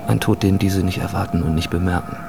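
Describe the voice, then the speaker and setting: An actor playing a calmly menacing, philosophising stranger in a radio drama, deliberate, probing and insistent. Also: male voice, deep voice, creaky, music in the background. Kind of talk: German radio drama acting.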